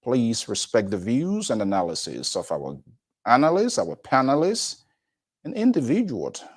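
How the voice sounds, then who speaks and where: A man's voice: mid-range voice, a little slow, slightly rough. Guy hosting a panel show, voice swoops up and down a lot, keeps restarting. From Liberia. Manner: rambling, animated delivery with restarts. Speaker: talk show host.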